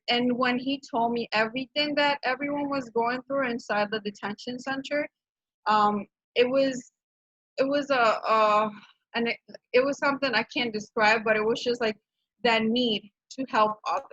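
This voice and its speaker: Bright-voiced woman with a Honduran accent. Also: on the high side, no echo. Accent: Honduran Spanish. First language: Spanish. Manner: earnest, many fillers and restarts. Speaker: program director of a nonprofit. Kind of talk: casual presentation on a video call. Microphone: video call microphone. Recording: dull, dry video-call audio.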